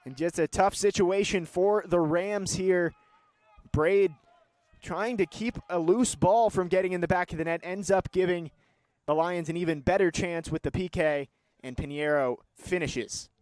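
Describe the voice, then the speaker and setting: A young man calling live sports play-by-play, moving between measured description and excited calls. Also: high voice, no room sound. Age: young adult.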